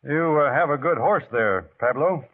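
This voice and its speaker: An actor playing a laconic, steady American volunteer with an understated, hesitant delivery in old radio drama style. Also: male voice, fairly high pitch, moderate pace. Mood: firm, guarded. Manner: understated, hesitant, plain-spoken. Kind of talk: 1940s radio acting. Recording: muffled, narrowband vintage radio recording.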